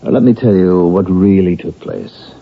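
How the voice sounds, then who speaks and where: A man's voice: low-pitched, moderate pace. A man acting in a vintage radio drama as a weary, defiant accused killer and psychiatric patient, emotional and evasive. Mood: confessing. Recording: muffled phone-like old radio recording.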